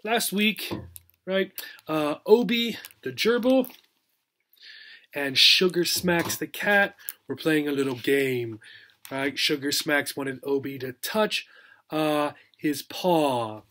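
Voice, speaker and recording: Guy teacher reading a kids book out loud, pretty high voice, expressive and simple storytelling. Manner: expressive, explanatory storytelling for learners. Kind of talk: children's story read-aloud with simple recap.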